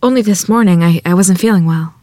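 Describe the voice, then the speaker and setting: Woman acting a husky, modest rural wife. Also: on the low side, fast, warm tone, no echo, clean studio sound, close to an everyday voice. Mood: surprised, sheepish. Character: modest, secretive rural wife and gifted pianist. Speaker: actress at a screenplay table read. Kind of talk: dramatic script reading.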